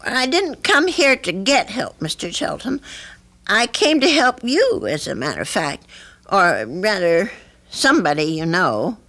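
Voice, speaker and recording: A woman's voice: medium pitch, sing-song, a little slow, a bit bright. An actress playing an anxious, flustered elderly landlady with a hesitant manner in an old radio drama. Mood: earnest, hesitant. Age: older. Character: anxious, fretful elderly landlady, reluctant but conscientious. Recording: vintage radio drama recording.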